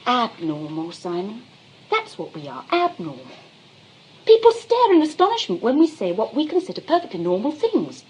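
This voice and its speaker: A woman's voice: a little high, wildly swooping pitch, moderate pace, darkish tone, a little hiss or hum, poor audio. An actress playing an earnest, indignant young British woman in a 1920s drawing-room comedy, speaking in a prim, reproachful, emphatic way. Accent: British English. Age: young adult. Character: earnest, indignant young Englishwoman, socially anxious daughter.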